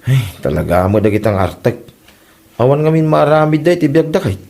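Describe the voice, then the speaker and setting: A man's voice: medium pitch, a little fast, creaky, a little mellow. A man playing a teasing, easygoing older village husband in an Ilocano comedy drama, with an Ilocano Filipino accent. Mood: casual, grumbling.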